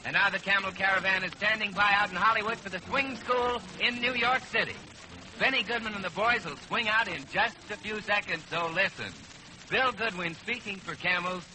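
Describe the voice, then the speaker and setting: A man's voice: high-pitched, brisk, sharp tone. A man announcing in a polished, projected 1930s radio style. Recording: noisy 1930s radio broadcast recording.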